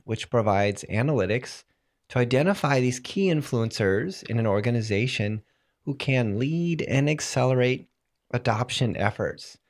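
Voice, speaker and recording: A man hosting a solo tech podcast, explaining software settings while fighting a cold, clearing his throat now and then. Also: mid-range voice. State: has a cold, voice strained. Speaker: podcast host.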